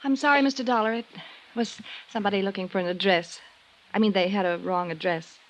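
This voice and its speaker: An actress playing a composed, evasive wife under suspicion, in an old-time radio drama. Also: female voice, medium pitch, fairly even intonation, fairly quick, lightly acted, rough recording. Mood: politely evasive. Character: cool, evasive married woman suspected of insurance fraud.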